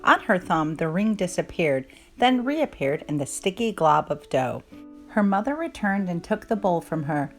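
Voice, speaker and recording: A woman reads a children's story aloud in a fairly low voice. Her intonation moves around a lot, with measured storytelling delivery. Guitar music plays softly behind her. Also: big pitch swings. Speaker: children's book reader. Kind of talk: expressive storybook read-aloud narration. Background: plucked guitar music.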